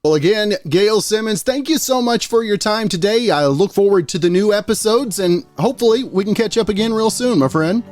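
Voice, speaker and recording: A male radio host with a fairly high voice talks in a friendly, folksy way. He often restarts his questions. Faint music plays behind him. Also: animated, a little fast, hardly any pauses, darkish tone, no echo. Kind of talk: casual radio interview.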